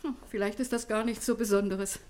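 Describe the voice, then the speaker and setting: An actress playing a homely, chatty wife who talks in an intimate, conversational way in a German radio play. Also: female voice, medium pitch, steady tone, brisk, a little mellow, natural voice. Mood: wistful, amused. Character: commissioner's wife, homely, chatty, gently reminiscing.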